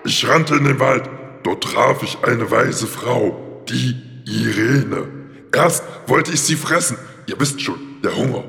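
A man's voice: medium pitch, lively intonation, takes it slowly, vocal fry, bright, forceful tone, faint music behind, heavily acted. A man voicing a simple-minded, plaintive talking lion who hesitates and repeats words in a children's fairy-tale radio play. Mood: storytelling. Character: grumpy, sun-loving, hungry talking lion, simple-minded. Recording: reverberant hall sound.

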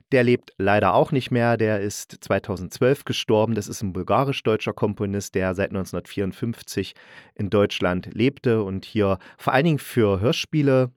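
A man's voice: fairly low pitch, no room sound, studio quality. A man giving a casual, colloquial spoken review as a podcast host, enthusiastic and fond of emphatic repetition.

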